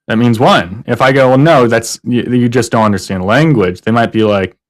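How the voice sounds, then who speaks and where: Male co-host, mid-pitch, lively storytelling, acts out the other side's lines. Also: normal speed. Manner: animated, mimics opponents in a higher voice. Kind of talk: live stream reaction chat.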